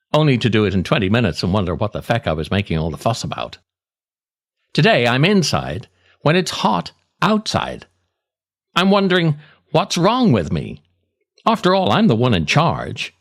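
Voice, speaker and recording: A middle-aged man reading a scripted comic monologue as a podcast host, in a wry, self-deprecating way with theatrical pauses. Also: mid-range voice. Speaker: podcast host, writer reading own essay.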